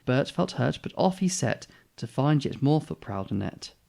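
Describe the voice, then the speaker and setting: A man reads a children's story aloud in an expressive style. His voice sits at a middling pitch, with a noticeable creak running through it. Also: normal speed. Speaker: storybook reader, channel host.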